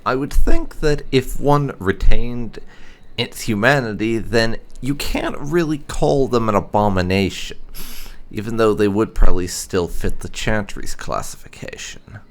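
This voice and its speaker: A man doing roleplay commentary on a game playthrough, musing and hesitant with hums and pauses. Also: fairly low pitch. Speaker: gaming video host.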